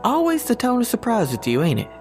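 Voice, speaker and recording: A loyal, good-natured male agent acted by a man in an audio drama. His delivery is folksy and joking, with very animated swings in pitch. Light music sits behind him. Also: fast, exaggerated voice. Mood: joking, easygoing. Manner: folksy, warm-hearted, joking.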